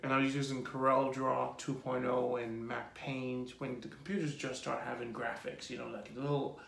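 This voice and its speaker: A man in his forties, an artist telling his life story in a relaxed, rambling way during an interview. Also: mid-range voice, moderate pace, creaky, a little hiss or hum. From Jamaica. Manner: rambling lists, relaxed and reflective. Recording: echoey room.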